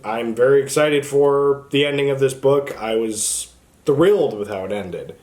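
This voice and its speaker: A man's voice: medium pitch, a little slow, darkish tone. Casual man chatting about books. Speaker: book review channel host. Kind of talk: casual book chat to camera.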